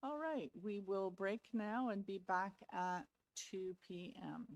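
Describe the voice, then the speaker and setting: A woman chairing a public planning hearing, brisk and procedural, losing her voice. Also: medium pitch. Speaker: committee chair.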